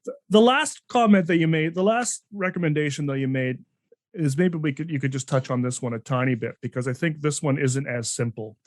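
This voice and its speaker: A man's voice: a little high, fairly quick, no echo, clean studio sound. A man hosting a podcast interview, talking casually in a rambling way with many restarts and fillers. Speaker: podcast host.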